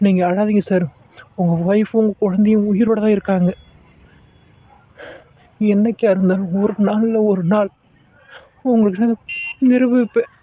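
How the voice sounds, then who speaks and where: A man's voice: high-pitched, fairly even intonation, moderate pace, pauses often, dark tone, lo-fi sound. A man voicing an honest junior doctor who pleads earnestly and deferentially. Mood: remorseful. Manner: earnest, pleading, keeps saying sir.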